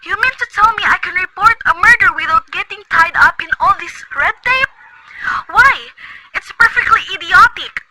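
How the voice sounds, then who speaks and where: A woman acting a nervous, bedridden, wealthy woman who talks in a shrill, agitated, imperious way in an amateur radio play. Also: lively intonation, normal speed. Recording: loud noisy recording.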